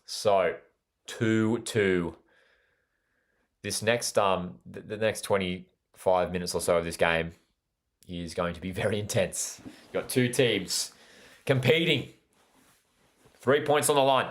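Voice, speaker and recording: Aussie guy doing live soccer watchalong commentary, lowish voice, keen, talks to the chat. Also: slow, pauses often. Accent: Australian. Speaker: host of a live football watchalong.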